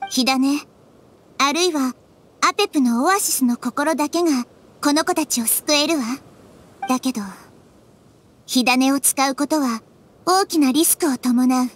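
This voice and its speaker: A woman voicing a wise, caring little-girl deity in an anime game, soft, thoughtful and measured. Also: high-pitched, slight background noise. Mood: thoughtful, grave. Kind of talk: Japanese anime game voice acting. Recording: music behind the voice.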